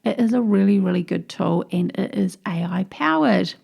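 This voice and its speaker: Gravelly New Zealand woman podcasting. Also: mid-range voice, animated, normal speed, a little mellow. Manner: upbeat and chatty, explaining step by step. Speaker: podcast host. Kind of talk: solo podcast tips episode.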